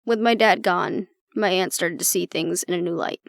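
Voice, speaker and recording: A woman's voice: on the low side, moderate pace, gravelly, no room sound, clean studio sound. A woman acting in an audio roleplay as an exasperated, blunt agent, talking intimately and sardonically to the listener. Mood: reflective, explaining. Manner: blunt, sardonic, conversational, swearing. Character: exasperated woman agent venting about her stubborn, disapproving father.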